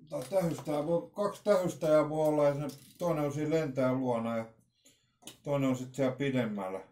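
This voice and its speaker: A man's voice: medium pitch, mellow, a little hiss or hum. A middle-aged man giving a casual, unscripted unboxing talk in a hesitant, rambling way, often repeating his words. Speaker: vlogger presenting an unboxing. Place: small room at home, indoors. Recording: roomy, echoey home recording.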